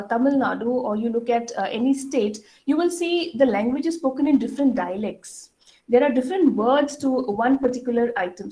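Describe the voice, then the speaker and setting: A middle-aged woman with an Indian English accent gives an earnest school assembly speech, often pausing with fillers and asking the audience questions. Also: a little high, mellow, rough recording. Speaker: school head addressing students.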